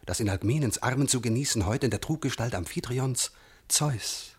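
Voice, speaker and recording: An actor playing a haughty, menacing god who bullies and threatens, declaiming classical verse in a radio play with a husky, rough voice. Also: male voice, mid-range voice, moderate pace, lightly acted. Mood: scornful, plotting. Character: bullying, menacing male god spoiling for a fight.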